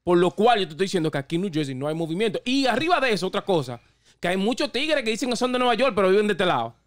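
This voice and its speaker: A man's voice: high voice, very animated, rapid-fire. A man in his thirties with a Dominican Spanish accent, explaining casually with English phrases dropped in and checking he is understood. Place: small indoor podcast studio. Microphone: close studio microphone on boom arm.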